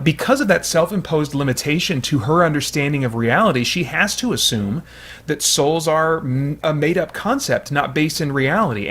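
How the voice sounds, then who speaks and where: A man's voice: a little high, fairly bright tone, breathy edge. A man giving earnest, analytical commentary as a show host, with hesitant, stammering restarts.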